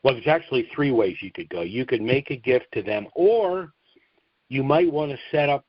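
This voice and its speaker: A man hosting a business conference call and question session, explaining things in a halting way with stammered restarts. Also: medium pitch, animated, breathy edge, no echo. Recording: dull lo-fi call audio.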